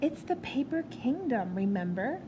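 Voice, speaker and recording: A woman voicing a gentle, playful father in a children's storybook. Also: high voice, big pitch swings, normal speed, highs cut off, background noise.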